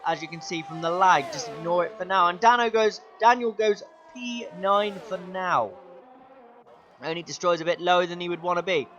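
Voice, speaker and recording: A young man commentating his own sim race, his voice going hoarse, excitable and shouting at the big moments. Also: high-pitched, moderate pace, dull audio. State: losing his voice. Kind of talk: gaming commentary.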